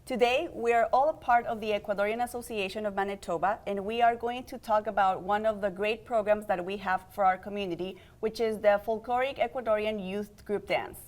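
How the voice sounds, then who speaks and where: A young woman hosts a community TV segment in a friendly, upbeat way. She steers the talk with easy questions and introduces each guest. Her pitch sits in an ordinary middle range. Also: steady tone, brisk, hardly any pauses. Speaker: host and program organizer. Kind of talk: community TV interview.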